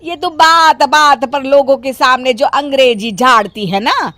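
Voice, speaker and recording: Woman playing a loud nagging village mother-in-law. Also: sits high, unhurried, dry recording, pitched above the performer's own voice. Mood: scolding.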